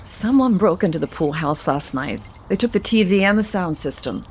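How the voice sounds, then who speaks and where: A woman's voice: fairly low pitch, expressive, moderate pace, darkish tone, a little hiss or hum, natural voice. An actress playing a protective, meddling, middle-aged mother of a grown son in a naturalistic audio soap opera. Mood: shaken, indignant.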